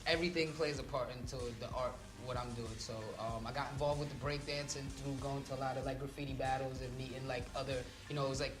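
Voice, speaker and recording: A man's voice: mid-range voice, flat delivery, fast, hardly any pauses, echoey room. Laid-back man with music behind. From Trinidad. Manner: laid-back, with many fillers. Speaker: guest artist. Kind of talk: casual interview chat.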